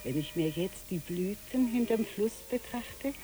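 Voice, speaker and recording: A woman's voice: mid-range voice, expressive, measured pace, warm tone, close to an everyday voice, low-quality recording. An actress playing a dreamy, eccentric boat-rental woman in a literary radio play, musing and then giving orders. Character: dreamy, eccentric woman who rents out boats.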